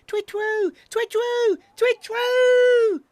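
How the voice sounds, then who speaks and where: A storyteller voicing an excitable, impatient little boy owl in an insistent, exclamatory way for a children's story. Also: extremely high pitch, takes it slowly, gravelly, no room sound, voice pushed up, full character voice. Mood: loud hooting.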